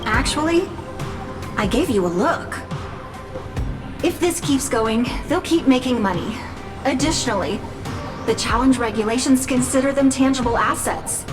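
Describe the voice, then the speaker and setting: Business assistant, game cutscene, crisp brisk reporting, high voice, music behind. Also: female voice, lively intonation. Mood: briskly reporting. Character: efficient female business assistant, eager and calculating. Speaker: assistant to the boss.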